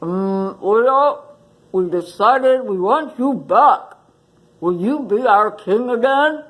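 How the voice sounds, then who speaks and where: A woman voicing a formal male water buffalo in a storybook, with a gruff voice. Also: fairly high pitch, lively intonation, takes it slowly, low-quality recording. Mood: solemn, hopeful.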